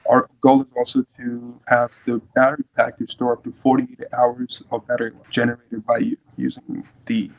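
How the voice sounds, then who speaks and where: A man's voice: medium pitch, little pitch movement, normal speed, few pauses, no echo, low-quality recording. A young man from Fiji, a student explaining his team's invention in an interview, earnest and explanatory. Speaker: student team member. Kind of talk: interview answers.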